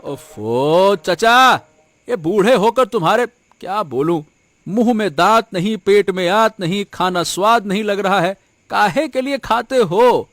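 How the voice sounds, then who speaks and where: Guy doing rude village restaurant boss for a cartoon, high voice, always hurrying people along, brusque. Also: lively intonation, unhurried, fairly bright tone, dry recording, soft background music. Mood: irritated. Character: rude, impatient, arrogant male village eatery owner. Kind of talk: Hindi cartoon story dialogue.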